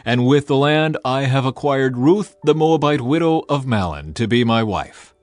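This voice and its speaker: A man's voice: medium pitch, expressive, moderate pace, dry recording, natural voice. Kind, decisive landowner, voiced by a male narrator. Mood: firm, formal. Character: kind, decisive landowner man, honorable and protective.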